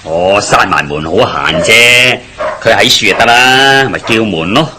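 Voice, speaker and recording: A man's voice: lowish voice, animated, unhurried, noisy background. An actor playing a good-natured, nosy village man who jokes around in a Hong Kong Cantonese radio drama. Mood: curious, easygoing. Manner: chatty, inquisitive, colloquial.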